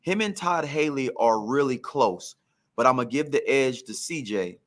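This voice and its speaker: A man's voice: medium pitch, expressive, normal speed. A man, a former pro football receiver, telling career stories on a podcast in a reflective, emphatic way.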